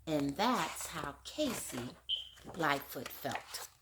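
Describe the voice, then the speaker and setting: A woman's voice: low-pitched, very animated, very slow, background noise. Lady teacher doing a kids' read-aloud, asks the boys and girls questions, voice jumps up and down a lot. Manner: warm teacherly, asks questions to young listeners. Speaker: teacher reading aloud to children. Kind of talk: children's read-aloud with discussion.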